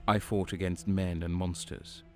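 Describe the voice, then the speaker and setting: Haunted turncoat soldier played by a man, audio drama. Slow, bitter, dramatic, on the low side. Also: flat delivery, dark tone. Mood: grim, resigned. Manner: dramatic, stammering under stress. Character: haunted, desperate renegade guardsman, defiant and bitter. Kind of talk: audio drama acting with first-person narration. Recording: music behind the voice.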